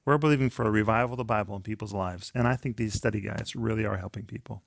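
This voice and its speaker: A man's voice: fairly low pitch, quick pace, vocal fry, no room sound. A man co-hosting a studio ministry show, enthusiastically presenting a book and study guides and inviting prayer requests. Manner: enthusiastic, promotes resources, urges prayer. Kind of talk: promotional and pastoral talk.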